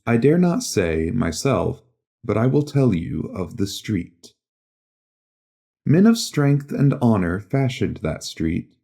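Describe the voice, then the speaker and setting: Male narrator for literary fiction, lower-pitched and very mellow, solemn measured delivery. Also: vocal fry. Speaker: audiobook narrator.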